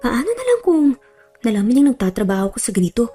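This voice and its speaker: A woman's voice: fairly high pitch, wildly swooping pitch, fast, warm tone, breathy edge, soft background music, pitched above the performer's own voice, full character voice. A woman voicing an anxious, self-mocking young working woman grumbling colloquially to herself in a romance story. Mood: startled worry.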